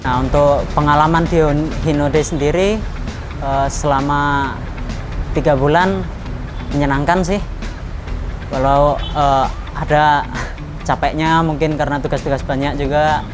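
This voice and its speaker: A halting 22-year-old man from Sidoarjo in Indonesia, a student giving a casual testimonial. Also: a little high, steady tone. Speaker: student at a language training school. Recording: music laid over the voice.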